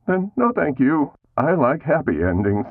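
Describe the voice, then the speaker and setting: Low, muffled, sulky housemate. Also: female voice, measured pace, no room sound, heavily acted. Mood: sulky, curt. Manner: curt, deadpan replies. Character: sulky, terse housemate refusing to come out. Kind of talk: comedy audio drama acting.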